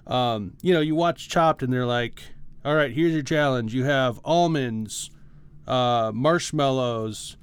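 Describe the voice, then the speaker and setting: A man's voice: medium pitch, takes it slowly, clear, resonant tone. A man co-hosting a podcast, talking casually and technically about music in an informal way peppered with "like" and "you know". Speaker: podcast co-host.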